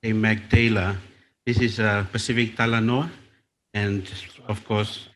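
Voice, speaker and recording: A man's voice: on the low side, a bit bright, slight background noise. Fijian man moderating at a lectern. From Fiji. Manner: measured, deliberate, with small restarts. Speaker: panel facilitator. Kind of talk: formal event moderation. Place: conference hall. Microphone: lectern microphone.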